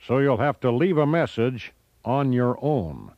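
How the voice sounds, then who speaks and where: A man's voice: medium pitch, big pitch swings, unhurried, very noisy. A man doing a comedy impression of a folksy, laconic old-time western film star. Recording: dull, dry tape recording.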